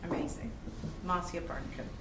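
Female co-host, lowish voice, encouraging, echoey distant room with crowd noise. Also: normal speed. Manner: encouraging, brief affirmations. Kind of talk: moderating a live panel. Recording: roomy, distant, live-event ambience.

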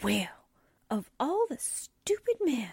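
A woman's voice: high voice, wildly swooping pitch, slow, vocal fry, a little mellow, breathy edge, no echo, clean studio sound, exaggerated voice. A woman playing a sardonic, reluctant sidekick to a newspaperwoman, with wry 1940s radio drama delivery. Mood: dry, annoyed.

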